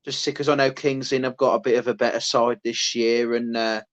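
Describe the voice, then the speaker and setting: A man's voice: medium pitch, flat delivery, a little fast, muffled recording. A man, co-hosting a podcast, who rambles in casual chat with many restarts. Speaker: podcast co-host.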